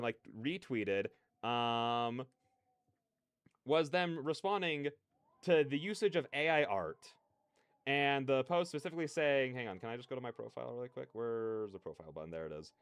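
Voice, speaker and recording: A man's voice: mid-range voice, expressive, normal speed, smooth, dry recording. A man livestreaming in a casual, rambling way, often interrupting himself with slangy asides into a close microphone. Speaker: livestreamer, content creator. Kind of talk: casual livestream rant and chat.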